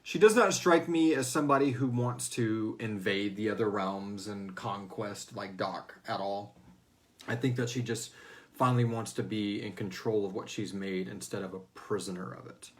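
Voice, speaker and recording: A man's voice: on the low side, normal speed, slight background noise. Guy host doing a laid-back q&a, informal, chats and throws in little character bits. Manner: informal, acts out character lines in passing. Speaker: host and writer of a serialized audio fiction series. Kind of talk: casual Q&A discussion, reading out listener questions.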